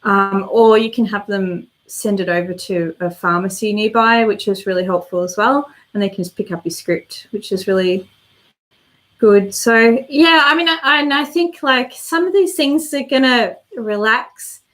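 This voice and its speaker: A woman's voice: mid-range voice, measured pace, fairly bright tone, low-quality recording. A woman hosting a casual live stream and answering viewer comments, chatty and meandering as she thinks aloud, slightly congested with a worn voice.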